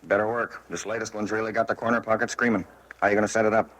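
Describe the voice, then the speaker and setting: A man's voice: lowish voice, fairly even intonation, fast, fairly bright tone, close to an everyday voice. An American man playing a curt, demanding police captain in a 1950s radio crime drama. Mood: brisk, demanding. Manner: curt, commanding. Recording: dull, muffled vintage radio recording.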